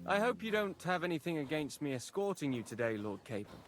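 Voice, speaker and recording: An actor playing a dutiful knightly bodyguard in a game cutscene, respectful and formal. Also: male voice, on the high side, sing-song, a little fast, soft background music. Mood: courteous, ready. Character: dutiful, courteous knightly escort. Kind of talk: video game cutscene acting.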